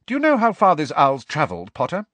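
Man acting a stern, high-pitched woman professor. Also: very animated, a little fast, breathy edge, no room sound, full character voice. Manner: sharp, questioning. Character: stern, sharp woman professor, quick to question.

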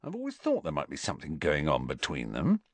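A man's voice: rumbling low, wildly swooping pitch, a little fast, dry recording, voice pushed down, exaggerated voice. A man voicing a defensive, stubborn teenage schoolboy who argues insistently.

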